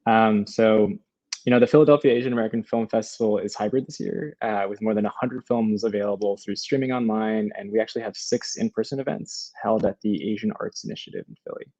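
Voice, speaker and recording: A man's voice: on the low side, fast, mellow, no room sound. A young man casually hosting an online panel over a video call with dull audio. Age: young adult. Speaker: host, festival moderator. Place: room at home, video call.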